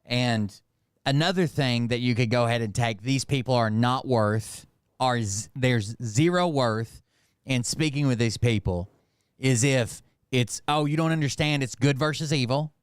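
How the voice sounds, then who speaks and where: A man from Montreal hosts a casual political commentary show. His voice is clean and smooth, recorded close and dry. He talks bluntly, with plenty of swearing and a mocking edge. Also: medium pitch, unhurried, no room sound. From Montreal, Canada. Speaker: host commenting on political clips.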